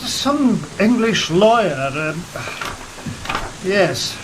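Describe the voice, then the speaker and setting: A sea captain in a gothic horror radio drama. He is measured, pious and drily sceptical, in a fairly high voice. Loud rain fills the background. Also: big pitch swings, exaggerated voice, low-quality recording. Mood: wry, musing. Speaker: actor in an audio drama. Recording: loud rain noise behind.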